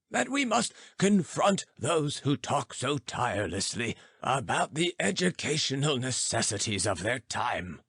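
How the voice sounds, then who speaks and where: A man narrating an audiobook, reading formal philosophical prose in a measured, oratorical way. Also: fairly high pitch, fairly bright tone, husky, dry recording, clean studio sound.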